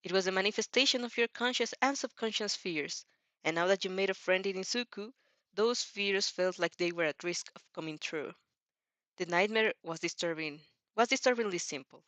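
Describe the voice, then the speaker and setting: A woman's voice: mid-range voice, fairly bright tone, no echo. Non-native English-speaking woman, dry close sound. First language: not English. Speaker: host reading fan fiction aloud. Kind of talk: casual reaction chat and story reading.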